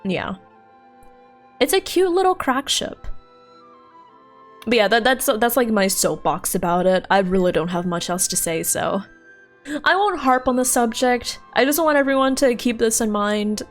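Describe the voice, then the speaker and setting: A young woman chatting casually with her stream viewers while playing a game, laughing often and thinking out loud. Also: on the high side, animated, normal speed. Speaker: livestreamer. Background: faint game music.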